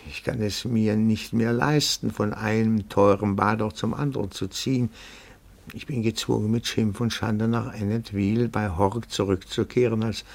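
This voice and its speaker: A man's voice: on the low side, steady tone, normal speed, gravelly. An actor playing a timid, pedantic, fawning amateur detective in a radio play, formal and deferential in his speech. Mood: humble pleading. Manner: formal, deferential, meticulous, hesitant. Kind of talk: theatrical radio play acting.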